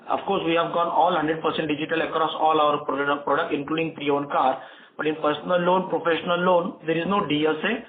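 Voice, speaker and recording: A man with an Indian accent answering analysts as a company executive on an earnings call, haltingly and repeating words, over a phone conference line. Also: fairly high pitch, narrow, tinny audio, slight background noise.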